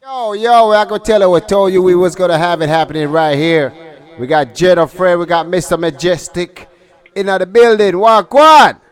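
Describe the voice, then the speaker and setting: A man hosting a live talk show, asking questions haltingly with many fillers and restarts. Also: high voice, clear, resonant tone. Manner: halting, many "uh" and repeated words. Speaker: radio host. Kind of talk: casual live interview.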